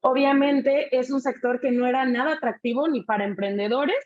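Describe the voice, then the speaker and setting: A woman's voice: sits high, steady tone, normal speed, highs cut off. A woman hosting a webinar over a video call, moderating in a conversational way and often tagging her phrases with a question. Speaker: host.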